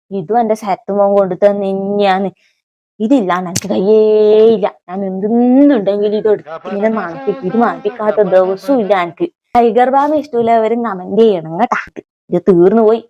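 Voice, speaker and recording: A woman acts as a middle-aged village auntie from north Kerala, with a mid-pitched voice and a broad Kannur accent. She rambles on in a homely, folksy way, talking fondly to her viewers as if they were her children in a comic mock vlog. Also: mellow, muffled recording, slight background noise, lightly acted. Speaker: comic vlogger character.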